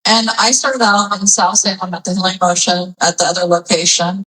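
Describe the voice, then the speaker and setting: A woman's voice: fairly low pitch, steady tone, a little fast, bright, forceful tone, recorded from a distance, a little hiss or hum, poor audio. A woman hosting an interview show, chatty and playful, sometimes rambling through her questions. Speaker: podcast host. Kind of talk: casual interview.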